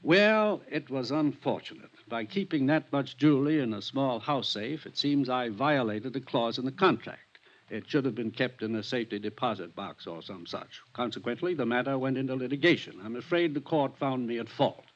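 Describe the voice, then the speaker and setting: A man's voice: medium pitch, moderate pace, natural voice. A man acting in a 1950s radio drama as a wealthy, genteel, evasive homeowner, speaking in a polite, formal, slightly haughty way. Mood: regretful, formal. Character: genteel, wealthy, evasive male mansion owner. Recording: muffled 1950s radio recording.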